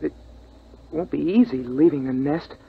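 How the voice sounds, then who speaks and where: A muffled old radio recording of a young son. The voice is high and husky, earnest and eager, with a touch of timidity. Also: male voice, moderate pace, heavily acted. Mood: hesitant. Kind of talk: 1950s radio drama acting.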